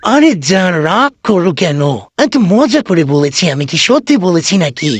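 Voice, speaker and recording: A man's voice: sits high, big pitch swings, a little slow, slightly rough, no room sound, full character voice. Man voicing a swooping, fawning old cartoon lover. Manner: wheedling, placating, coaxing. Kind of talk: amateur comic cartoon voice acting.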